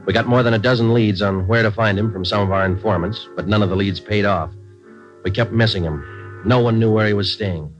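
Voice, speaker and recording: Deadpan American male detective acted, low-voiced and brisk, vintage radio crime drama. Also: close to an everyday voice. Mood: matter-of-fact. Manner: clipped, deadpan, matter-of-fact. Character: terse, businesslike police detective sergeant, narrating his case. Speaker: narrator and lead actor. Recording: dull vintage radio recording with music.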